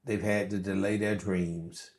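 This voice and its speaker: A man's voice: low voice, flat delivery, a little slow, darkish tone. A man, a pastor, conducting a conversational church interview, putting questions in short phrases and echoing what his guest says. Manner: echoes and repeats the guest's words.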